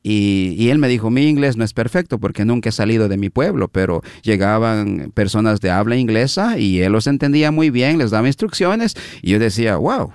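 A middle-aged man from Guatemala, a minister being interviewed, telling a story in an unhurried, reflective way with many fillers. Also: lowish voice, sing-song, darkish tone, smooth, no room sound, studio quality.

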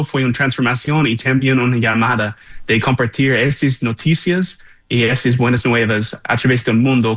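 A man's voice: medium pitch, a bit bright, no room sound. A man from Texas, a missionary guest answering interview questions earnestly, with some restarts. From Texas, United States.

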